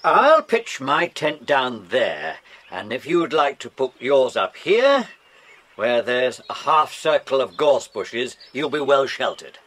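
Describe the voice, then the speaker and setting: Kindly English gentleman, slow and swooping. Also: medium pitch, very animated, husky, heavily acted. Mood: relaxed, kindly. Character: kindly absent-minded middle-aged man, teacher leading children camping. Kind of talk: children's audio drama acting.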